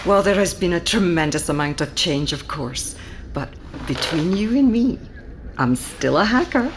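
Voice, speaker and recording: An actress playing a self-assured, wry, middle-aged veteran hacker answering interview questions in an audio drama. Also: female voice, on the low side, animated, moderate pace, close to an everyday voice. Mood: wry, confident. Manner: wry, confident, explanatory. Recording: faint event background noise.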